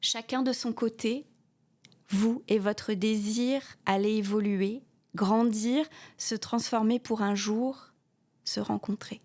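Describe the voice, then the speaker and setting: A woman guiding a yoga nidra meditation, speaking softly with pauses and repeated soothing phrases, often trailing off into a breathy whisper. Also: a little high, fairly even intonation. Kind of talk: guided meditation with a read inspirational text.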